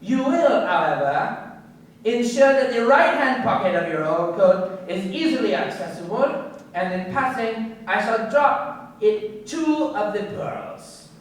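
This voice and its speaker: An actor playing a wary, menacing foreign informer in a radio crime play, speaking formally and deliberately. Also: male voice, sits high. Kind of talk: radio crime drama acting. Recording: very echoey, distant-sounding room recording.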